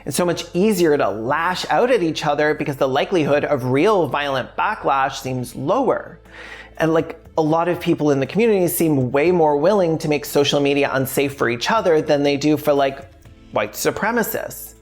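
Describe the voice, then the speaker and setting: A woman's voice: sits low, expressive, normal speed, faint music behind. A woman hosting a video essay in a frank, sardonic way, mixing scripted points with casual, sweary asides.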